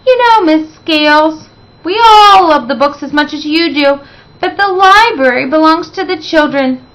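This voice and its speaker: A woman's voice: sits high, animated, a little slow, fairly bright tone, pitched above the performer's own voice, low-quality recording. A woman voicing a sweet, earnest female teacher in a children's storybook, pleading with emphasis. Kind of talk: storybook read-aloud character voice.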